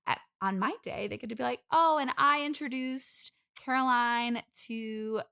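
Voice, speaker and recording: A woman's voice: on the high side, measured pace, gravelly, bright, forceful tone, clean tone, heavily acted. A woman playing an overeager, clingy single bridesmaid, gushing and chatty in an improvised comedy interview. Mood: wistful, needy. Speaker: interview guest.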